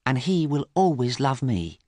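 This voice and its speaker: A man's voice: mid-range voice, big pitch swings, unhurried, dark tone, no room sound, clean studio sound, pitched above the performer's own voice. A man voicing a romantic, hopeful young actress in an audiobook, in a sweet, gushing manner. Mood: tender.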